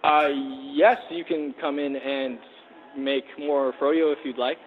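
A man's voice: a little high, steady tone, slow. Tinny phone voice, polite American man. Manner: polite, hesitant. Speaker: frozen yogurt shop employee. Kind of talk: customer service phone talk. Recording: tinny noisy phone line.

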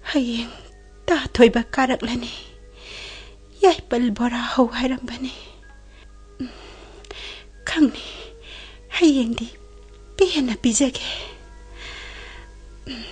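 A anxious Manipuri woman in a radio play mutters her thoughts to herself. Her voice is fairly high and husky, and she runs through her words very fast. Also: a little mellow, faint music behind. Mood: anxious musing. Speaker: radio drama actress. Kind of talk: radio drama monologue.

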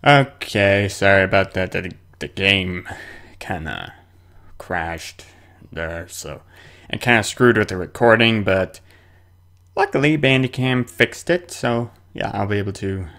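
A man's voice: on the low side, lively intonation, measured pace, a bit bright. A man hosting a horror game playthrough, talking to himself and to the game in a theatrical, jumpy way. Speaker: gaming video host.

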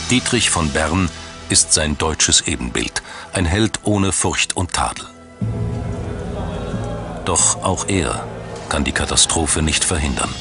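A man reading scripted documentary narration in pronunciation. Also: very deep voice. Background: background music.

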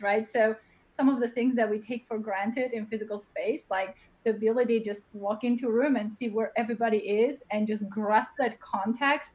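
A young woman with a high voice, answering as a panelist on a video call, earnest and thinking aloud, often tagging her points with "right?". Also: a little mellow, low-quality recording. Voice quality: high-pitched voice. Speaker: panelist, platform co-founder. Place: home room. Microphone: webcam on video call.

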